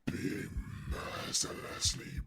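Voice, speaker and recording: A man voicing a menacing demon monster in a fantasy audio roleplay, with a harsh growling rasp and drawn-out threats over loud noisy ambience. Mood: menacing, vengeful. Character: menacing dying demon monster cursing its hunter.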